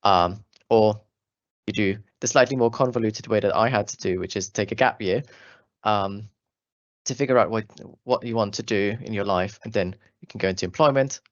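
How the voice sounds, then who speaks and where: A man's voice: lowish voice, normal speed, dry recording, highs cut off. A young man in his twenties with an Austrian German accent, giving an earnest, friendly talk to school students about his path as a doctoral student. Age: 20s. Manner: earnest, friendly, with fillers and restarts.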